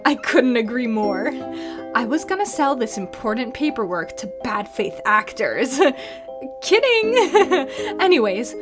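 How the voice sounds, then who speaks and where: A woman's voice: on the high side, very animated, slow pace, music in the background. A woman acting in an audio drama as a shy, sweet secretary with a mischievous, quirky streak.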